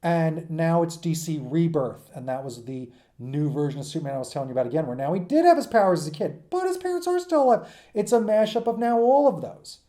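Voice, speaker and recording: A man's voice: a little high, big pitch swings, a little fast, warm tone. A man giving an informal, unscripted lecture as a teacher, talking conversationally and emphatically, often checking in with his listeners. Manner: conversational, checks in with "right?" and "okay?". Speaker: teacher presenting a comics history lesson.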